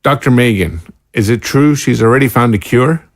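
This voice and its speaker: A man's voice: medium pitch, expressive, moderate pace, dry recording, studio quality, lightly acted. A man in an audio drama playing a principled, defiant imprisoned doctor with a sardonic, educated, indignant manner. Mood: shocked, accusing. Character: principled, defiant male doctor held prisoner.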